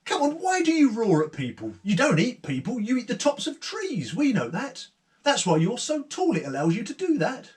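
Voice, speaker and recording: A man's voice: extremely high pitch, wildly swooping pitch, moderate pace, pitched above the performer's own voice, exaggerated voice. A man voicing a young woman traveller who is blunt, challenging and unimpressed.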